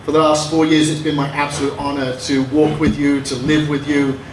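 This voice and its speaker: East Texas pastor speaking outdoors. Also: male voice, a little high, completely flat delivery, normal speed, noisy background. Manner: warm joking, with filler ums and uhs. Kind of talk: public speech at outdoor ceremony. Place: outdoor street gathering. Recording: echoey from far off.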